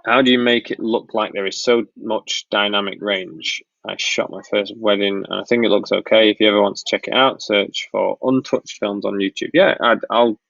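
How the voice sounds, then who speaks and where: Live-stream host from Lancashire, laid-back and rambling; slightly dull lo-fi sound. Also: male voice, lowish voice, normal speed, vocal fry, hardly any pauses, dry recording. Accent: Northern English (Lancashire). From Blackpool, Lancashire, northwest England. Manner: relaxed, rambling, frequent ums and restarts. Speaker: wedding filmmaker hosting a live Q&A. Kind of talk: casual live-stream Q&A, reading out chat questions.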